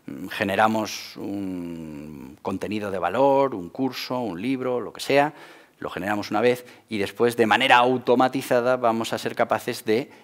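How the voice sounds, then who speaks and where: A man talks straight to camera from a studio desk. His voice sits a little low, picked up close on a lapel mic. He moves through the explanation with wide hand gestures and lots of "bueno, pues" fillers. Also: normal speed. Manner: gestures broadly with both hands, many "bueno, pues" fillers. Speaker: host of a channel on marketing for entrepreneurs. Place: indoor studio set with a porthole backdrop. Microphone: lapel mic, seated at a desk.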